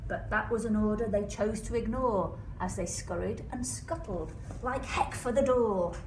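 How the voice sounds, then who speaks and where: Female storyteller, teacher-like, expressive rhyming read-aloud. Roomy, off-mic sound with clear background noise. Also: mid-range voice, moderate pace. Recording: echoey room, distant mic, noisy background.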